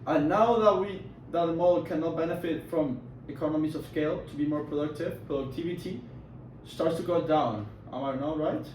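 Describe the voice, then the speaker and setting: A young man playing an eager economist who chimes in quickly, recorded from far off in an echoey, noisy room. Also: a little high, darkish tone, lightly acted.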